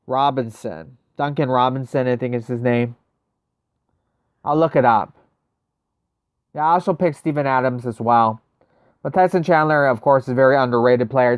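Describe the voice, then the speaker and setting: A man's voice: medium pitch, clean tone, no echo. Casual male streamer, clean resonant voice, chatty gameplay talk, very dry sound. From United States. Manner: chatty, answers viewers' messages, thinks aloud. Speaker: livestream host. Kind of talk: casual livestream gameplay chat.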